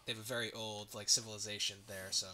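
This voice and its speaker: A man's voice: fairly low pitch, flat delivery, fast, a crowd in the background. A young man, still a student, hosting a casual podcast interview and asking the questions. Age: teens or young adult, a student.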